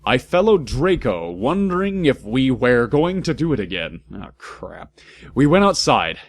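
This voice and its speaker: A man's voice: medium pitch, very animated, a little slow. A man doing a comic dramatic read-aloud, theatrical and exaggerated, breaking into amused asides. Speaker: narrator and host of a comedic fan fiction reading.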